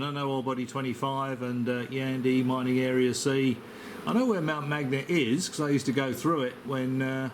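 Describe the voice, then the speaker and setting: A man in casual amateur radio conversation, hesitant and rambling as he goes. His mid-pitched voice sits in clearly audible noise, caught on a camera microphone beside the set. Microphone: camera microphone held near the operator.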